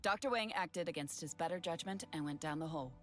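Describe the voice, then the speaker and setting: A woman giving scripted story narration in a steady, even reading voice. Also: deep voice, fast talker, faint music behind.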